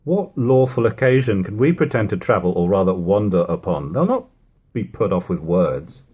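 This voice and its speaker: A man reads a wary seaman from a period novel. The husky, slightly low voice weighs each doubt and reason deliberately. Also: animated, mellow. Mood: doubtful, worried. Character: cautious, doubtful sailmaker and seaman, male.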